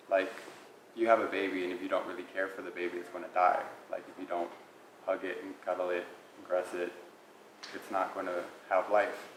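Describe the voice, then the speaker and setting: A twenty-five-year-old man musing earnestly in an interview, with lots of "like". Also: low-pitched. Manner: earnest, musing, says "like" often. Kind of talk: casual reflective interview answers. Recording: echoey room, faint noise.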